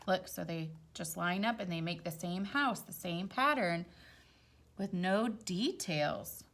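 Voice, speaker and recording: A woman reading a picture book aloud to young children, expressive, with casual explanatory asides. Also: on the low side, takes it slowly. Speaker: storytime reader.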